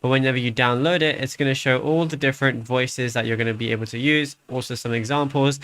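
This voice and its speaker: Male tutorial host, average pitch, informal and matter-of-fact step-by-step narration. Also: fairly even intonation, quick pace, a bit bright. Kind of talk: casual how-to screen tutorial narration.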